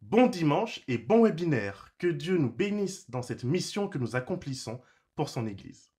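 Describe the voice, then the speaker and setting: A man's voice: fairly high pitch, sing-song, darkish tone. A man formally introducing a speaker at a church webinar over a video call. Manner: formal, measured. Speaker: church communication organizer. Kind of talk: read introduction.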